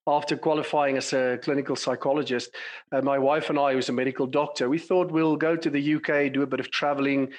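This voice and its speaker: A South African man in his forties answers questions over a remote call at an easy conversational pace. He speaks thoughtfully, pausing on frequent fillers as he explains things, his voice sitting in an ordinary middle register. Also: medium pitch, level tone. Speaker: clinical psychologist, podcast guest. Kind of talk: conversational interview.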